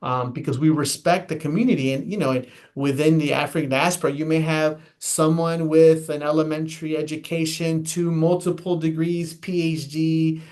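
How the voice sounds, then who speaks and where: A man's voice: fairly high pitch, flat delivery, normal speed, few pauses, darkish tone. An American man, a guest elections official, explaining voting steps in an earnest, emphatic way, often repeating and restarting phrases. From United States. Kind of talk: interview explanation.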